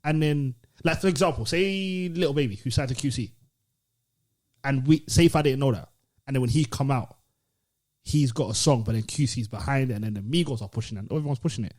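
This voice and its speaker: A man's voice: mid-range voice, fairly quick, darkish tone. A man co-hosting a podcast, casually debating and insistently repeating his point. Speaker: podcast co-host.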